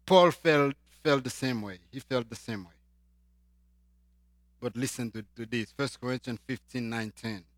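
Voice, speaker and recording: A man from Haiti with a Haitian accent, preaching a sermon and swinging between loud emphatic lines and quiet asides. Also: mid-range voice, measured pace, dry recording.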